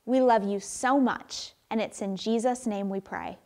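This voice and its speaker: A young woman pastor giving a children's sermon in a church sanctuary, animated and speaking to children, picked up by a camera across the room. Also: medium pitch, measured pace. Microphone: far camera.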